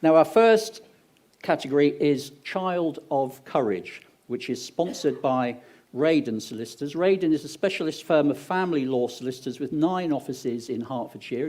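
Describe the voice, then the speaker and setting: A man's voice: mid-range voice, a little mellow. A man hosting an awards ceremony with an English accent, reading out sponsors with joking asides to the crowd. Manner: upbeat, joking asides to the audience. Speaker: awards host. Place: event venue on stage.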